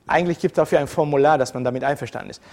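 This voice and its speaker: A man from the former Soviet Union gives a relaxed university lecture in a slightly raised voice. He talks to his students in a conversational way, drifting between points with frequent fillers and self-corrections. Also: on the high side, very fast.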